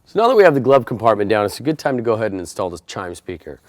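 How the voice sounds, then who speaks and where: A man's voice: lowish voice, sing-song, racing, dry recording. A man presenting a how-to installation tutorial, explaining each step in a plain, methodical way. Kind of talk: step-by-step instructional narration.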